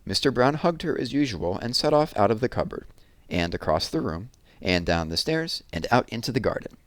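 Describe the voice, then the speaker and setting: A man reading a children's picture book aloud in a gentle, expressive storytelling manner. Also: mid-range voice, fairly quick, no room sound.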